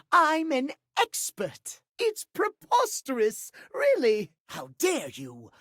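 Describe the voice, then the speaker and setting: Prim, high-pitched clockwork gentleman. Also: no room sound, studio quality, exaggerated voice. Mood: indignant. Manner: prim, formal, old-fashioned diction. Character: fussy, pompous, lovestruck-proper pocket watch gentleman, easily outraged. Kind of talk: video game character acting.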